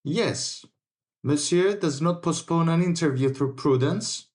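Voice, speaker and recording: A woman reading an audiobook, voicing a hot-tempered young Gascon swordsman who is proud and quick to take offence. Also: low-pitched, darkish tone.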